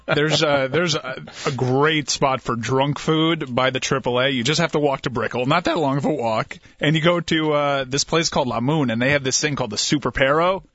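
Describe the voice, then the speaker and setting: A man's voice: a little high, normal speed, few pauses, fairly bright tone, no echo. A man from Miami on a sports talk radio show, enthusiastically recommending local spots. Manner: enthusiastic, opinionated. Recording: muffled dry studio audio.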